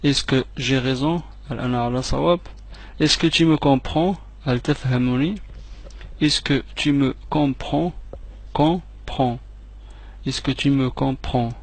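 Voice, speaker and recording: Gravelly-voiced man drilling French phrases. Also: medium pitch. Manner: slow, clearly separated repetitions, quick asides in another language. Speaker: French teacher. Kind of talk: repeat-after-me language drill.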